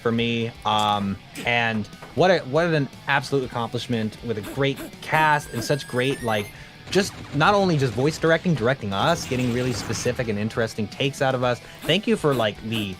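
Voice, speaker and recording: A man's voice: mid-range voice, moderate pace. A man speaking as a guest voice actor in casual livestream chat, effusive and earnest as he talks. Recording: music playing behind the voice. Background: music.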